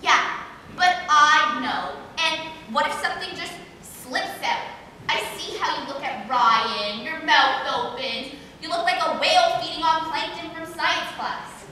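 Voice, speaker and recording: A woman's voice: high voice, lively intonation, a little slow, bright, forceful tone, exaggerated voice. A woman playing a bossy, melodramatic teenage girl in an amateur stage play, with a theatrical, insistent delivery. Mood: accusing, scheming. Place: stage performance in a large hall. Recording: echoey hall, distant mic, faint noise.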